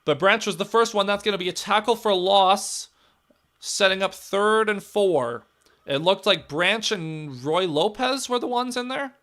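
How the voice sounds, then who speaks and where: A hoarse young man with a raspy, cracking voice, giving casual live play-by-play commentary and reacting to the game, swinging from loud calls to low muttering. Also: unhurried.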